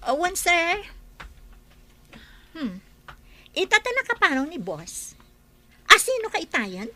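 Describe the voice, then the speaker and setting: A woman voicing a sharp-tongued nanny and housekeeper who scolds and mocks the newcomers. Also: extremely high pitch, huge pitch swings, slow pace, full character voice. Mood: snide. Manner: scolding, sarcastic.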